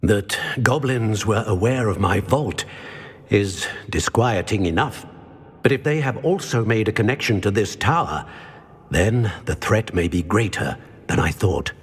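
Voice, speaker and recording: Ancient wizard keeper: low, husky, solemn and cryptic, ringing in long reverb. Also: male voice, lively intonation, unhurried. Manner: stately, formal, cryptic. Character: grave, wise old male keeper of ancient magic. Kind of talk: video game voice acting.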